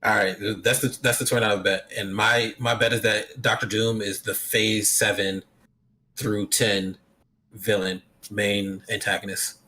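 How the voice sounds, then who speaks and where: A man co-hosts a relaxed podcast chat with a bright, forward-edged voice. He jokes and laughs easily. He pushes his predictions with easy confidence. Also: lowish voice, unhurried, slight background noise, rough recording. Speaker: podcast co-host. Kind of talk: casual chat.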